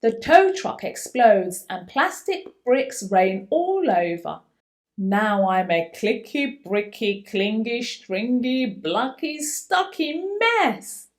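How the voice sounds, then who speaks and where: A woman reads a children's story in a high voice. Her delivery is slow and highly animated, with theatrical emphasis. She stretches out key words as she tells the tale. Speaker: children's book reader. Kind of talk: expressive picture-book read-aloud, voicing a young boy's first-person story.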